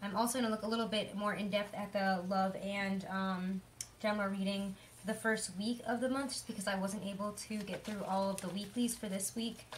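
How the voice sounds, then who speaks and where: A young woman with a hoarse voice giving a chatty, rambling tarot reading, full of fillers. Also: medium pitch, fairly even intonation, brisk, a little hiss or hum. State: hoarse from illness. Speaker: tarot reader. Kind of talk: casual tarot reading monologue.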